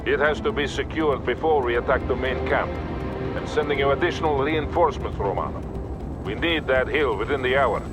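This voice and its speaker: An actor playing a stern, composed Soviet army colonel with a Russian accent, giving measured orders in a military video game. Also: male voice, mid-range voice, loud music behind the voice, close to an everyday voice. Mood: composed, commanding. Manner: authoritative, measured, commanding. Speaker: military commander character. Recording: battle gunfire noise behind voice. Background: gunfire, artillery and vehicles.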